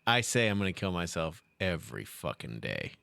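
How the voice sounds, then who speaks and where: A man's voice: sits low, big pitch swings, moderate pace, creaky, bright, forceful tone, clean tone, no room sound. A man co-hosting a casual podcast, tossing out crude wisecracks.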